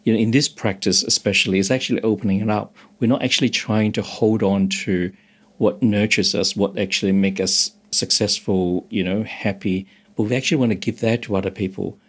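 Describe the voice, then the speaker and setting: Low-voiced meditation teacher from Hong Kong. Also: male voice, fairly even intonation, fairly quick, breathy edge. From Hong Kong, moved to Australia as a teenager. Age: 50s or older. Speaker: meditation instructor, interview guest. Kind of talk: explanatory interview talk.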